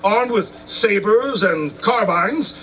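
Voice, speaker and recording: A man's voice: high voice, expressive, slow, bright, forceful tone, heavily acted. A man playing a courteous, curious battlefield commentator in a live historical reenactment, with a formal announcer-like delivery. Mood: expectant, narrating. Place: outdoor stone amphitheater in open scrubland. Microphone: stand mics, recorded from a distant camera. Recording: distant outdoor recording, faint ambient noise.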